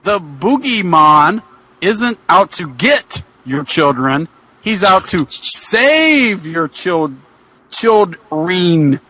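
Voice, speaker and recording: Man playing a high, husky, pleading wrestler. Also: very animated, extremely slow, sharp tone, heavily acted, rough recording. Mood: earnest. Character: earnest pleading male wrestler defending his accused friend.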